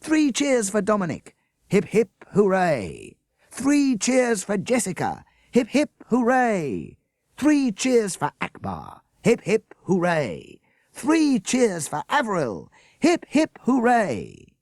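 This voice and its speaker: A man voicing an excited school headmaster shouting cheers for his pupils. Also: high-pitched, slow pace, dry recording, pitched above the performer's own voice, exaggerated voice. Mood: exuberant.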